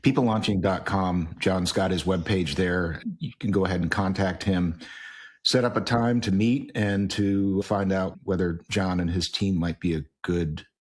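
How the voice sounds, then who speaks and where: A middle-aged man hosting an interview podcast, friendly and a little halting. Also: low-pitched, fairly even intonation. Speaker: podcast host.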